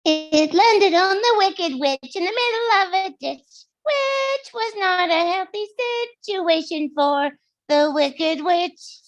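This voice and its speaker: Woman voicing small cheerful munchkin for a storybook musical: childlike very high pitch, slow singsong chant. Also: exaggerated voice. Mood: gleeful. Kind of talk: musical lyrics read out in singsong.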